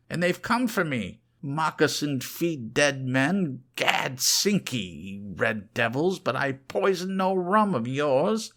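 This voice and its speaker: A man's voice: a little high, a bit bright, pitched above the performer's own voice, full character voice. A man voicing a frantic, raging old squire-magician in a horror audiobook, barking archaic curses in terror. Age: older. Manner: archaic cursing, spitting and barking his words. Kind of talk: dramatic horror audiobook character acting.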